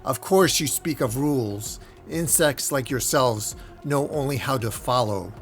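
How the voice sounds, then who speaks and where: A man's voice: medium pitch, measured pace, soft background music, lightly acted. A man voicing a haughty villain who leads assassins in a comic story, pompous and commanding. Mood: scornful. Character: haughty, booming male villain leading assassins.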